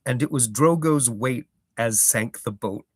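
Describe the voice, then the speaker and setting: A man's voice: medium pitch, a little slow, husky, no room sound, clean studio sound. A man voicing an old male hobbit gossip with a sceptical, grumbling manner.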